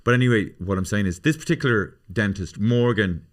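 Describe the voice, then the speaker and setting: A low-voiced man in his fifties talks on a podcast. He rambles and swears through self-mocking stories, drifting from one aside to the next. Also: animated, fairly quick. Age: 50s. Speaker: podcast host. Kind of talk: casual comedic chat.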